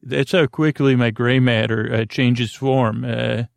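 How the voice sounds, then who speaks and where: Creaky-voiced man, rambling bedtime podcast host. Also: medium pitch, steady tone, no echo. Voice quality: creaky, lulling voice. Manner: meandering, halting, full of tangents and self-interruptions.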